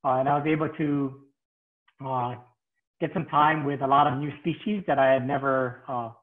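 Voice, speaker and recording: A man's voice: mid-range voice, steady tone, normal speed. A middle-aged man from Hawaii, a field veterinarian presenting over a video call, hesitant with many fillers. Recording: tinny phone-like call audio.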